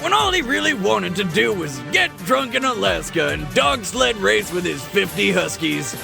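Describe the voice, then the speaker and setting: High-pitched, gravelly, brash male narrator. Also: very animated, normal speed, music in the background. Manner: brash, punchy, joking. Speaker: co-host of a video game analysis show. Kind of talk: hyped comedic scripted narration.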